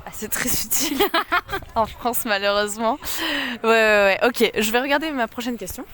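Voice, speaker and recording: A woman hosting a casual street interview, asking questions in a quick, chatty way and encouraging her guest with frequent agreeing murmurs. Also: on the high side, a bit bright. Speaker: interviewer, channel host. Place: outdoors on a busy city street.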